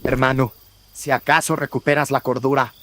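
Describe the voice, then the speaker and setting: Guy doing a worried kindly monk, medium pitch, earnest radio drama, noisy night background. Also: little pitch movement, moderate pace, fairly bright tone, natural voice. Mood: concerned. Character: concerned, devout fellow friar tending his brother. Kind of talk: horror radio drama acting.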